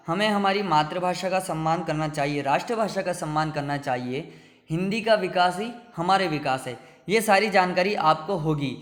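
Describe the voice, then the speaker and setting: A man's voice: a little high, a bit bright, long reverb tail. A man hosting his channel and giving a classroom-style lesson. He asks questions and answers them himself, and he repeats key facts for emphasis. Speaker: teacher and channel host.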